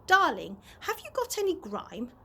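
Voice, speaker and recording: A woman's voice: sits high, wildly swooping pitch, moderate pace, bright, forceful tone, a little hiss or hum, pitched above the performer's own voice, full character voice. A woman voicing a bossy, fussy, impatient television producer in a storybook reading. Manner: demanding, fussy.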